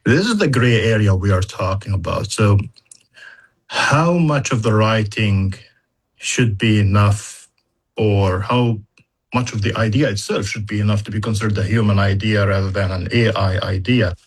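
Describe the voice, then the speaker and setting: A man who speaks English as a second language, explaining things as an educator in a measured interview conversation, using examples. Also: on the low side, breathy edge. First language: not English. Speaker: university educator in data analytics.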